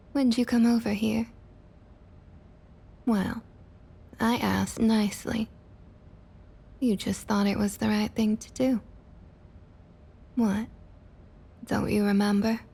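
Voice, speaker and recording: A woman playing a smug, condescending vampire councilwoman in an ASMR roleplay, with a Southern US accent and a falsely sweet, breathy delivery that often drops to a near whisper. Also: mid-range voice, warm tone, heavily acted. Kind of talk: ASMR roleplay, intimate scripted monologue.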